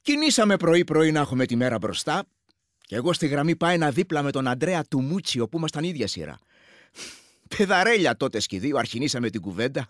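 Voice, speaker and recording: An earthy war veteran from a village, with a rural Greek accent and a slightly high voice. He recounts combat matter-of-factly, in a chatty radio-drama monologue, and slips into other men's speech. The sound is very dry. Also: very animated, no echo. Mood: wry reminiscing. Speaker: actor. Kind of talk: radio play monologue, colloquial storytelling.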